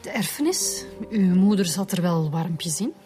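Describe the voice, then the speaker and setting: An actress with a Flemish accent playing a frank, matter-of-fact police detective in a conversational radio drama style. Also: female voice, fairly low pitch, expressive, measured pace, mellow. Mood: probing. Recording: faint background music.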